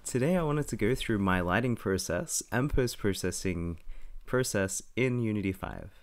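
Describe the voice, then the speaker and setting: Young man, lowish voice, slightly raspy from a cold, casual tutorial narration. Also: expressive, moderate pace. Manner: casual, self-correcting walkthrough. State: slightly raspy from being sick. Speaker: tutorial host. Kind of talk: screen-recorded software tutorial.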